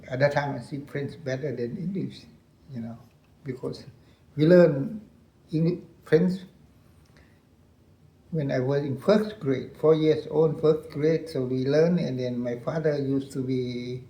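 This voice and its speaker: Older Lao-accented man, gravelly and echoey. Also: mid-range voice, warm tone. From Laos. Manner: halting, repeats phrases, laughs often. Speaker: interview guest, veteran colonel. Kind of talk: recounting war memories.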